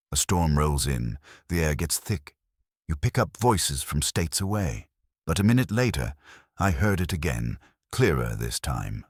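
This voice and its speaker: Very deep, husky male horror narrator. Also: dry recording, clean studio sound. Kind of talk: scripted first-person horror narration.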